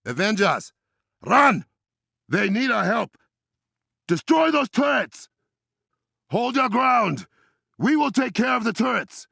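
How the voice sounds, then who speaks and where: An actor playing a regal, commanding warrior king in a video game, with an African accent, measured formal diction and a gravelly, husky voice. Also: very high voice, big pitch swings, sharp tone, no echo, studio quality, full character voice. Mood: urgent, shouting. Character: regal, commanding warrior king, stern and proud, adult man.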